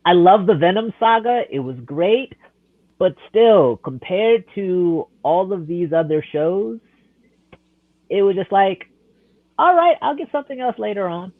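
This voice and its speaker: A man's voice: high-pitched, lively intonation, unhurried, no echo. A man co-hosting a casual podcast, talking in a hesitant, stammering way. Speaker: podcast co-host. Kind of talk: casual chat. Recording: dry tinny phone-like line.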